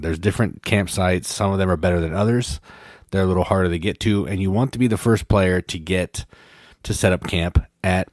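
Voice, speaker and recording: Man podcast co-host, very deep voice, rambling rule explanation, dry close sound. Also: dry recording. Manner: rambling, self-correcting explainer. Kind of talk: casual chat, explaining game rules.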